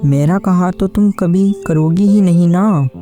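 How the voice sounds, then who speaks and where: A woman's voice: fairly low pitch, a little slow, dark tone, close to an everyday voice. A woman voicing a hardworking, kind-hearted farmer husband in a cartoon story, speaking in an earnest, plaintive way. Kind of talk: scripted cartoon story acting. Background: background music.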